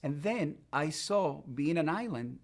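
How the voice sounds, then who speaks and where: A man with a Puerto Rican Spanish accent speaks in a fairly high voice. He talks in a thoughtful, earnest way, pausing now and then as he tells his story. Spanish is his first language, and he answers questions as a guest in a relaxed interview. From Puerto Rico. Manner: reflective, earnest storytelling with pauses. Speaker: immigration attorney guest. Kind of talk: conversational podcast interview.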